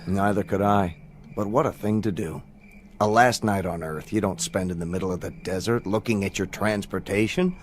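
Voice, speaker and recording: An actor playing a confident, reflective astronaut in a radio drama, musing in an assured way. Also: male voice, low voice, moderate pace, a little hiss or hum, natural voice. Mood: wistful, musing. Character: confident, reflective male astronaut on eve of launch.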